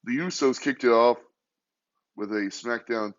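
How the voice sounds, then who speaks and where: A hoarse young man hosting a casual solo podcast from a hotel room, talking quietly and rambling. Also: medium pitch, unhurried, muffled recording. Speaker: podcast host.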